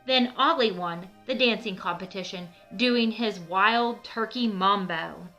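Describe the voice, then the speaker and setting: A woman hosts a storybook read-aloud for children. She speaks slowly and deliberately in a bright voice, explaining things simply. Faint music plays along. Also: medium pitch, dull audio.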